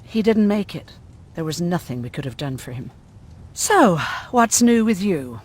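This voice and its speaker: An actress playing a gruff, sardonic, world-weary woman medic who survived a prison camp, in a dramatic video game style. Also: on the low side, big pitch swings, measured pace, a little mellow, full character voice. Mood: matter-of-fact.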